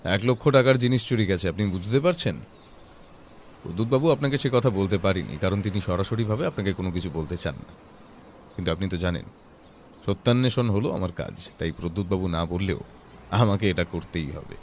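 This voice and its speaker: Man playing a calm detective, kinda deep, firm measured questions, bit of noise behind him. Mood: probing, firm. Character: probing, composed male private detective. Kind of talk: dramatized audio detective story.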